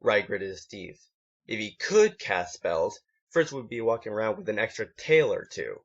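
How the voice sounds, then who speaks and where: A man's voice: fairly low pitch, expressive, moderate pace, slightly rough. A man reading a story aloud as an amateur, in one take, now and then stumbling and correcting himself. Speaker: amateur fan fiction reader. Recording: dull lo-fi home recording.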